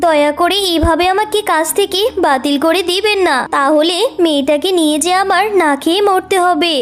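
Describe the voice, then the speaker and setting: A woman's voice: extremely high pitch, fairly quick. A woman voicing a poor, anxious fox mother and housemaid in a children's cartoon, plaintive and pleading.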